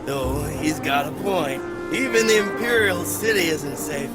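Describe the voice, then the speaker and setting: An actor playing a wry, teasing, skeptical teahouse patron in a video game. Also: male voice, fairly high pitch, lively intonation, a little slow. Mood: wry, doubtful. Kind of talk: dramatic video game dialogue. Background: music.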